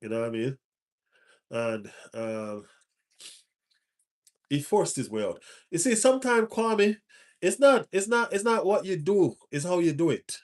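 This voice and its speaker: A man's voice: on the high side, sing-song, measured pace, pauses often. A man with a stuffy nose hosting a casual live stream as a football fan, repeating his phrases for emphasis.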